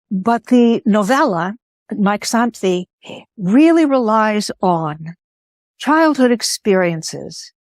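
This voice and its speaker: An older woman whose first language was Greek talks in an interview. Her voice is mid-pitched and measured, and she often pauses to restate a thought. The sound is close and dry. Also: a little mellow, no room sound. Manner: thoughtful, measured, pausing to rephrase. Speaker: guest. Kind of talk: interview conversation.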